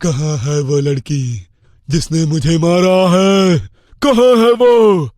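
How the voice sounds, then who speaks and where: Slow, swaggering bandit chief played by a man. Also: on the high side, a little mellow, no room sound, studio quality. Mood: threatening. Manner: taunting, drawn-out, domineering delivery. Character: menacing, swaggering male bandit chief, later a ghost. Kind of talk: Hindi cartoon horror story acting.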